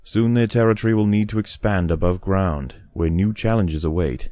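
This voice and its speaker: A man giving measured, grave, scripted nature documentary narration for a game. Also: low voice, dark tone, dry recording, clean studio sound, soft background music.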